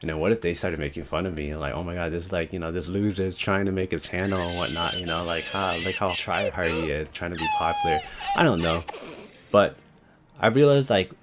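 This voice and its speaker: A young man talking casually to the camera about starting a channel, with a deep, monotone voice, rambling with lots of "like" and "you know". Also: fast talker, very noisy. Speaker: YouTube creator. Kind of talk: casual talking-head vlog.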